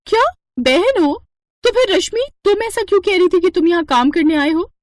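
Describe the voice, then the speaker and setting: A woman's voice: very high-pitched, animated, moderate pace, dry recording, pitched above the performer's own voice. A woman voicing a snobbish, nosy rich friend in a moral cartoon story, with a haughty, exclamatory manner. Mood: shocked.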